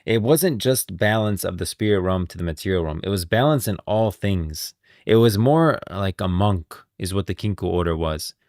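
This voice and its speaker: Deep-voiced man, casual streamer. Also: animated, moderate pace, a little mellow, no echo, studio quality. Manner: informal, emphatic, opinionated. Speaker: livestream host. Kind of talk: casual game lore commentary.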